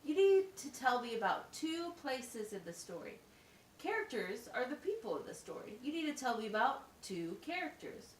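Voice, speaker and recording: A woman's voice: sits high, big pitch swings, normal speed. A woman playing a patient, encouraging special education teacher at a wizard school in an amateur puppet show, explaining things simply, step by step. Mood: instructive, upbeat. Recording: echoey, distant mic with faint noise.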